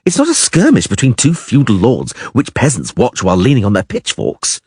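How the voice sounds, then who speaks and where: A man's voice: mid-range voice, huge pitch swings, brisk, a little mellow, slightly rough, no room sound, clean studio sound, full character voice. A man voicing a panicked, talkative young male bard who pleads in a frantic, breathless way. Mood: grave. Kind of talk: audiobook character voice.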